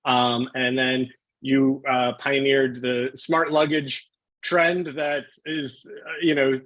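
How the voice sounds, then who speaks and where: A man's voice: medium pitch, flat delivery, slow pace, lo-fi sound. A man hosting a live online interview on a video call, friendly and informal as he introduces his guest. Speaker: host, webinar moderator.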